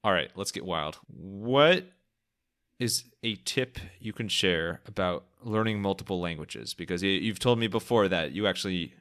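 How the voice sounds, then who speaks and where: A man's voice: low-pitched, expressive, moderate pace, a bit bright. A young man hosting a podcast interview, asking questions in an upbeat, jokey way and laughing easily. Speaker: podcast host.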